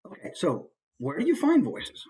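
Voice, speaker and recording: American male presenter, slightly husky mid-range voice, informal and conversational teaching. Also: on the high side, wildly swooping pitch, normal speed, a little mellow. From United States. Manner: conversational, demonstrates as he explains. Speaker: voice actor giving a presentation. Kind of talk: informal instructional talk.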